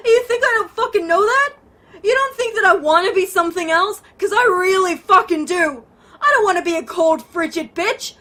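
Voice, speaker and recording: A woman's voice: very high voice, lively intonation, normal speed, sharp tone, exaggerated voice. A woman playing a bitter, guilt-ridden, foul-mouthed woman in an audio drama, blunt and defensive in manner. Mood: self-loathing outburst.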